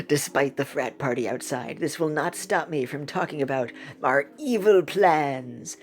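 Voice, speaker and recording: A woman's voice: deep voice, lively intonation, measured pace. A woman chatting casually as she streams a game, in a playful, giggly, rambling manner. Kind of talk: casual livestream chat over gameplay.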